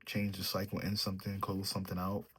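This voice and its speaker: A man with a mellow, sleepy way of talking, reading tarot cards and thinking aloud as he draws them. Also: sits low, completely flat delivery, fast, a little hiss or hum. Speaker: tarot reader. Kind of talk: solo tarot reading, casual chat.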